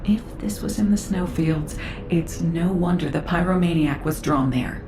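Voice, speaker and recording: An actress playing a composed, analytical researcher in a video game, speaking in a measured, formal way. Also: female voice, fairly low pitch, steady tone, close to an everyday voice. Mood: curious, composed. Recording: reverberant voice over loud game music.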